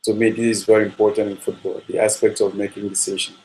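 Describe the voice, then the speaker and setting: Low, flat-voiced coach. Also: male voice, slight background noise, low-quality recording. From Nigeria. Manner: measured, repetitive, with frequent fillers. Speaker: football coach. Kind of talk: spoken coaching talk.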